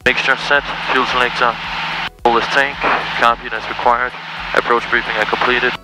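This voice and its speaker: A man's voice: medium pitch, flat delivery, moderate pace, over loud music, low-quality recording. A young man with a Danish accent speaking as a student pilot, making radio calls and reading checklists. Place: small aircraft cockpit in flight. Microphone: aviation headset.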